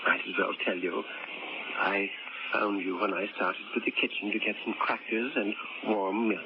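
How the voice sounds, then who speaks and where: A man's voice: on the low side, steady tone, normal speed, heavy background noise, close to an everyday voice. An actor playing a stern, pompous elderly man in a 1940s radio drama, talking in a formal, scolding way. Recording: tinny lo-fi old radio broadcast.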